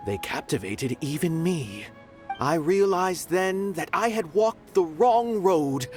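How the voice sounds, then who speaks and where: An actor playing a haughty, eloquent male art connoisseur in a video game, speaking in a theatrical, grandiloquent way. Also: sits high. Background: game music.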